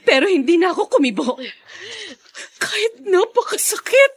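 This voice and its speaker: A woman's voice: sing-song, normal speed, heavily acted. An actress playing a vengeful, grieving young woman in a Filipino radio drama, with an emotional, accusing delivery. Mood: grieving, resentful. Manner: emotional, accusing, swooping between low menace and shrieks. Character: vengeful, grieving young woman confronting her mother's killer.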